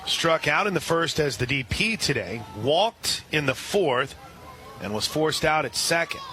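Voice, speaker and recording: A man doing live radio play-by-play of a softball game, relaxed and chatty, lifting his voice on big plays. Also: a little high, unhurried, soft background music.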